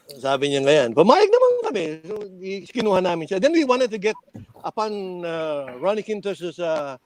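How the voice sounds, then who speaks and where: An older man with a Filipino accent reminiscing casually, halting as he recalls details and acting out quoted dialogue. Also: high voice. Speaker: former radio DJ and program director, guest on a reunion show.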